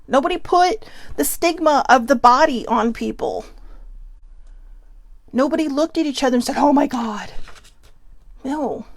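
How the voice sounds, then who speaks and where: A woman host from California speaks in a casual, earnest monologue, pitched a little high with a gravelly, fry-laced edge. The sound is close and dry, with little room around it. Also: no room sound. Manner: earnest, chatty, with frequent ums and asides. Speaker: podcast host.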